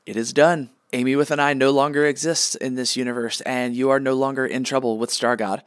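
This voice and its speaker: A man's voice: medium pitch, fairly even intonation, a little fast, dry recording, studio quality, lightly acted. Wry, petty male god, played by a man. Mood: smug, official. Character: petty, smug male god, easily annoyed, deadpan. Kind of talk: comedic radio play acting.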